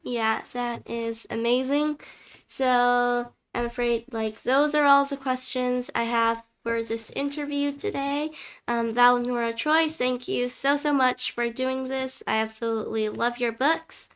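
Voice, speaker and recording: Young girl hosting an author chat, says like a lot, kinda high and super clear. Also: steady tone, measured pace, fairly bright tone. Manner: chatty, fills with lots of likes. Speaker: host. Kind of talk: casual interview chat.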